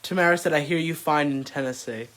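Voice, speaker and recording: A man's voice: fairly high pitch, quick pace, fairly bright tone. A young man behind the camera, reading viewer comments aloud during a cooking class. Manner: reads comments out plainly, brief replies.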